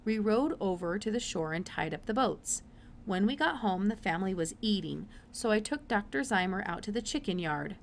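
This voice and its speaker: A woman's voice: mid-range voice, lively intonation, moderate pace, creaky, a little hiss or hum. A woman reading a children's story aloud as scripted narration. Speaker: storybook reader.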